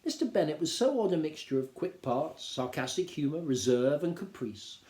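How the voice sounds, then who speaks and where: A man reading a classic novel aloud as an expressive literary narrator. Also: mid-range voice. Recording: roomy, echoing indoor sound.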